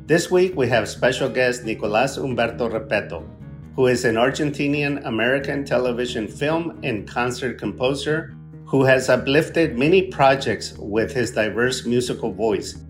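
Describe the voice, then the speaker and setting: Male podcast host, earnest and promotional, mid-pitched voice, soft music under him. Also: moderate pace. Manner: earnest, promotional delivery with fillers in questions. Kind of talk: scripted intro then interview.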